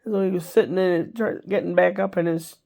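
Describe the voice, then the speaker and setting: A woman's voice: lowish voice, steady tone, brisk, vocal fry, warm tone. An older woman chatting casually to her camera, congested and stuffy, rambling along with wry asides. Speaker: vlogger.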